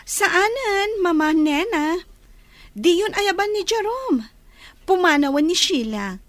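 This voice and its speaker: Lady playing a snooty jealous wife in a radio drama, super high voice, up and down, close dry sound. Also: animated, slow, dry recording, exaggerated voice. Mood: possessive, irritated. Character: Felina, possessive, territorial young wife, haughty and sharp-tongued. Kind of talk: amateur audio drama acting.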